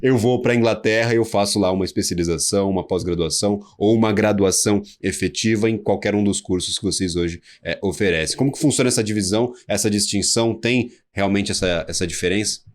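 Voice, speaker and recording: A man's voice: fairly low pitch, fast talker, few pauses. A Brazilian man with a Brazilian Portuguese accent hosting a debate show, asking long, rambling questions full of restarts. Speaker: host.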